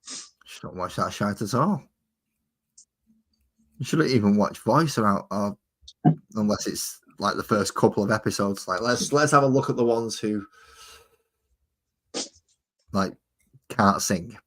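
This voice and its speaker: Man, low voice, rambling, jokey livestream host. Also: measured pace, long pauses, fairly bright tone. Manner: jokey, rambling, chats with viewers. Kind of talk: casual chat while painting.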